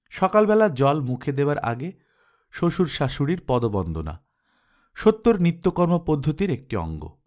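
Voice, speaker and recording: A man's voice: medium pitch, darkish tone. A man narrating a literary story in a dramatized audiobook reading style.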